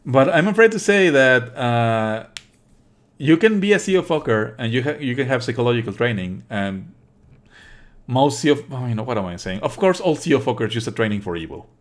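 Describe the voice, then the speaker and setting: Male streamer, mid-pitched voice, casual live game commentary, sweary, scornful, halting explanations. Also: expressive. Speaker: livestreamer playing and commenting on a game.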